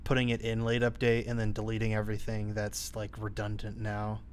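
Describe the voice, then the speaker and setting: Young man, slightly low voice, casual informal streamer chat, light background noise. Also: completely flat delivery, a little fast. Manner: informal, hedging with "like". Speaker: streamer programming a game.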